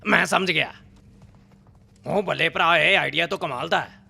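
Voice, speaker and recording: An actor dubbing a gruff, scheming dwarf smith who talks in a brash, grumbling, colloquial way. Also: male voice, on the high side, measured pace, pushed and bright, heavily acted. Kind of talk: Hindi game dub.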